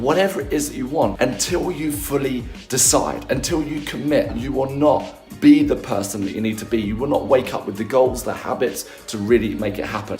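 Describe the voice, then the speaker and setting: A man with a hoarse, strained voice giving an upbeat, emphatic motivational tip straight to the viewer. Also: medium pitch, a little mellow, echoey room. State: hoarse, losing his voice. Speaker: business and confidence coach. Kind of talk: motivational daily-tip video.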